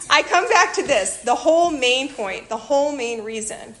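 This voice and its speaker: Chatty Chicago-accented woman, higher voice. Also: unhurried. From Illinois, USA. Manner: chatty, animated, self-deprecating asides. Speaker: missionary kids program leader. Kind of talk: informal church presentation to a group.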